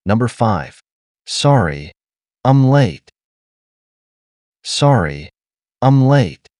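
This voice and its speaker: Slow, lively-voiced man reading test items. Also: mid-range voice, dry recording, studio quality. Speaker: listening-test narrator. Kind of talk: scripted test narration.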